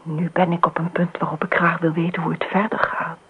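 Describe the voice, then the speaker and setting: A woman's voice: lowish voice, little pitch movement, a little fast, breathy edge. An actress playing a dreamy, eerily detached married woman in a radio play, often murmuring to herself. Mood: dreamy, musing. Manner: murmurs asides to herself, often near whispering. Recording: muffled phone-like old radio recording.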